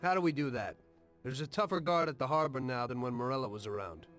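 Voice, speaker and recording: A man's voice: medium pitch, quick pace, a bit bright, lightly acted. An earnest gangster with doubts voiced by a man, loyal yet uneasy. His mid-range voice is hesitant and sincere, acted as game cutscene drama with music underneath. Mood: questioning. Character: loyal but uneasy young gangster, conscientious former cabbie. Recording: background music under the dialogue.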